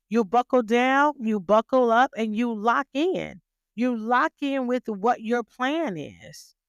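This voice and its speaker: A woman podcast host gives a motivational talk and presses her listeners with question after question. She speaks slowly and deliberately, and her slightly high voice is smooth and clear. The recording is dry and close, and her voice sounds stern when she means business. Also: no room sound. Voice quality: stern but graceful voice. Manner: emphatic, rhetorical questions, repeats phrases for emphasis.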